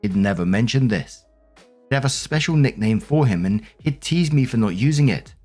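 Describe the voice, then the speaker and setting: A man's voice: mid-range voice, lively intonation, normal speed, a little mellow, faint music behind. A man narrating written internet posts aloud as a storyteller. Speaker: narrator of online stories.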